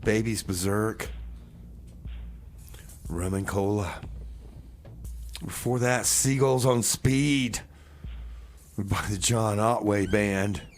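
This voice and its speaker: A man's voice: fairly low pitch, slow pace, pauses often, slight background noise. A man hosting a music radio show with casual track announcements and comic banter.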